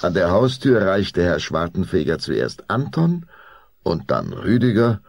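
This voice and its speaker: A man narrating a German children's radio play, measured and expressive in scripted storytelling style. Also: sits low, gravelly, full character voice. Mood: measured, suspenseful.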